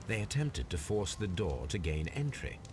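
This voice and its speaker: A low-voiced British Victorian detective, shrewd and composed. He speaks formally and deliberately, with lively rises and falls, as in a mystery game. Night insects sound behind him. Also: noisy background. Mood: composed, deductive. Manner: measured, assured, formal. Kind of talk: scripted video game acting.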